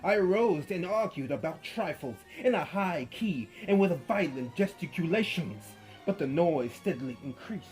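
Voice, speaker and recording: A man giving a theatrical reading of a story, swinging from hushed to loud. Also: fairly high pitch, expressive, normal speed, hardly any pauses. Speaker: narrator. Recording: faint music behind.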